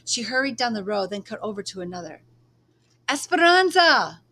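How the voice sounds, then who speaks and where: A woman's voice: mid-range voice, quick pace, creaky, a bit bright. A woman storyteller reading a children's book aloud.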